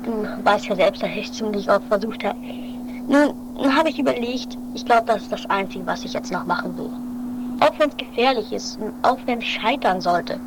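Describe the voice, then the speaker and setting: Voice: mid-range voice, moderate pace, natural voice. A performer playing a stubborn, fatalistic male daredevil in an improvised amateur comedy radio play, casual and resigned. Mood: resolute, resigned. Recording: noisy 1980s amateur recording.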